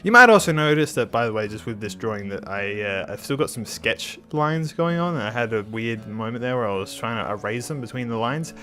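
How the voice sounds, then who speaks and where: A man's voice: mid-range voice, lively intonation, brisk, hardly any pauses, pushed and bright, faint music behind. Slightly nasal, rambling man. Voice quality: a bit nasal. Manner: rambling, hesitant, many restarts. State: slightly sick. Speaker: art channel host. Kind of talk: casual commentary over a speed drawing.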